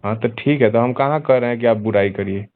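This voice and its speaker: A man's voice: medium pitch, fairly quick. A man debating heatedly as host on a phone call, insistently piling up rhetorical questions. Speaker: host taking phone calls.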